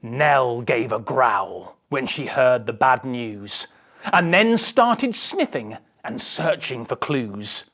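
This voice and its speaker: A woman's voice: rumbling low, expressive, slow, a bit bright. A woman reading a children's picture book aloud with theatrical, dramatic emphasis. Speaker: storybook reader.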